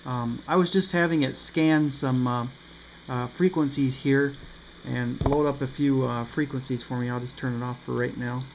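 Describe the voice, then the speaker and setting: A man giving a casual, unscripted review of a radio, pausing often with ums and uhs. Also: mid-range voice, fairly even intonation. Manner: hesitant, many ums and uhs. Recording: slightly dull with faint noise.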